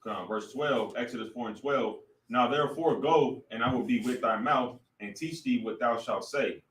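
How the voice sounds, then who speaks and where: A man reading scripture aloud in a slow, measured way, recorded from far off in a roomy space. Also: medium pitch, fairly bright tone. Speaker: scripture reader in class.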